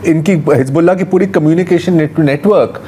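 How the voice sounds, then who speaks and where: A man's voice: on the high side, fairly quick, mellow, slight background noise. A man giving explanatory live news analysis, with some hesitation.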